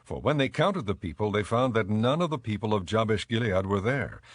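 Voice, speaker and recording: A man's voice: lowish voice, expressive, brisk, no room sound. A man reading scripted dramatic Bible narration as the narrator.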